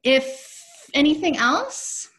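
A woman in her forties on a video call from home, presenting in a chatty, upbeat way with many fillers, her voice going hoarse. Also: high-pitched, big pitch swings, extremely slow, fairly bright tone. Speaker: program director presenting a webinar. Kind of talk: casual webinar presentation. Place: home room, indoors. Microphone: video call, laptop mic.

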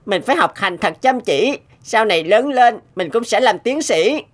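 A woman voicing an eager, polite young boy in a cartoon, excitable and full of admiration. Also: fairly high pitch, normal speed. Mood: excited. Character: eager, admiring young boy who dreams of being a scientist. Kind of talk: cartoon voice acting. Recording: music behind the voice.

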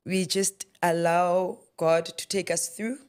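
A woman's voice: on the low side, fairly even intonation, slow pace, a little mellow, clean tone. A South African woman in her thirties, a guest author speaking in a preacherly way and repeating phrases for emphasis, on a studio mic. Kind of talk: faith-based interview talk. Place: podcast studio with a yellow backdrop. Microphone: studio mic on a boom arm.

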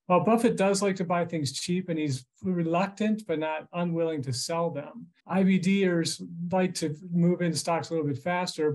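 A man in his fifties with an American accent and a fairly high-pitched voice. He explains things informally as a financial commentator, speaking conversationally over a video call from a room at home. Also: darkish tone. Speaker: financial journalist interviewed as expert. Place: small furnished room at home.

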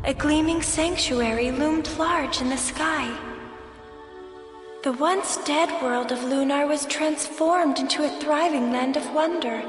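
An actress playing a solemn young heroine, earnestly narrating an ancient legend in a game cutscene. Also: female voice, sits high, a little slow. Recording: echoing reverb, music behind.

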